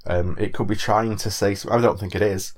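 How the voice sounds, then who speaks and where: A man's voice: on the low side, fairly even intonation, brisk. A man hosting a podcast in casual, frank chat, talking with a bit of a cold. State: has a cold. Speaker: podcast host.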